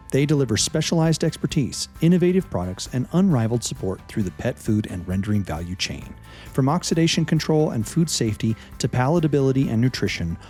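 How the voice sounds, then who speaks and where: A man reading scripted promotional narration in a polished, measured announcer style. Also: medium pitch, mellow. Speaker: sponsor announcer.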